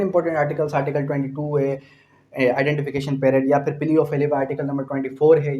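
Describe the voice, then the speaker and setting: A man with a Pakistani accent lectures students in a slightly high-pitched voice. He explains step by step, posing rhetorical questions and answering them himself. English legal terms and quotations are mixed into his speech. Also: a little mellow. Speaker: law teacher. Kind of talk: exam-prep lecture to students.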